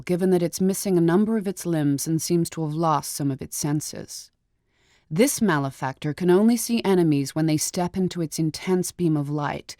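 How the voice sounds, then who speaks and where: A woman giving measured, clinical scripted narration as a game's bestiary narrator. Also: on the low side, dark tone, no room sound, clean studio sound.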